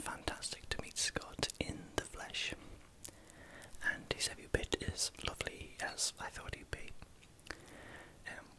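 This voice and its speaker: A man with a Geordie accent from northeast England, speaking as an ASMR creator in a hesitant, halting way while recounting things. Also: poor audio. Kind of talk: whispered ASMR vlog, recounting.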